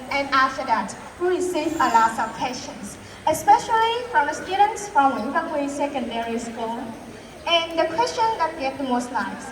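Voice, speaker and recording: A woman's voice: sits high, fairly bright tone. A woman with a Vietnamese accent hosting a talk show in a school role-play, speaking in a careful, rehearsed presenter style. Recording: echoey hall, distant mic, background noise.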